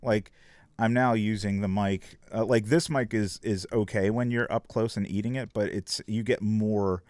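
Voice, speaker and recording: Male streamer, low-ish voice, loose profane casual chat. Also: steady tone, moderate pace, a little mellow, no room sound. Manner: rambling, profane, lots of fillers. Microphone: desk microphone.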